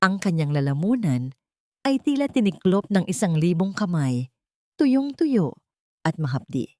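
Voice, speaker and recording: A woman with a lowish voice tells a dramatic story in slow, measured, somber narration. The sound is close and dry, with no room around it. She reads from a script in a storytelling way. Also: sing-song, a little mellow, no room sound.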